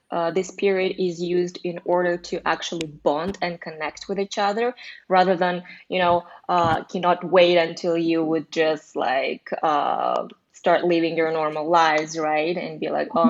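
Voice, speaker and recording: Russian-native woman on a lo-fi call. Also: fairly low pitch. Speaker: guest life coach. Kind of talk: casual video call chat. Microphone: video call. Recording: dull lo-fi call audio.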